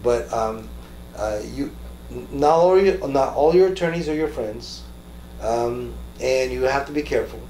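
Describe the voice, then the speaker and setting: A man hosting an interview in a conversational way, haltingly, repeating words as he searches for his phrases. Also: medium pitch, warm tone. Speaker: talk show host interviewing a guest. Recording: roomy echo with faint background noise.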